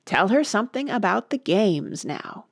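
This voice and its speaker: A woman voicing a brusque, bossy, excitable gryphon beast in a storybook, with a decided, emphatic manner. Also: mid-range voice, measured pace, vocal fry, no echo, studio quality, exaggerated voice. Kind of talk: storybook character voice.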